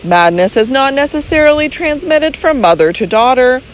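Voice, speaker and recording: A woman reading the part of a calm, deliberate male physician in an audiobook, quiet and measured. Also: sits high, a little hiss or hum, voice pushed up.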